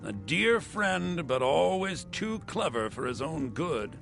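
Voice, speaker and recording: An actor playing a refined, courteous scholar in a fantasy game, articulate and theatrical. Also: male voice, mid-range voice, vocal fry.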